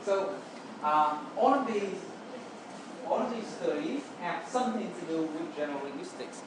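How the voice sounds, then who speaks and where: Echoey, distant Vietnamese-accented lecturer. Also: medium pitch. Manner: checks understanding with "okay" and "right". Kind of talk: explanatory classroom lecture. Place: roomy indoor space, distant mic, noise behind. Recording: echoey room from far off, noisy.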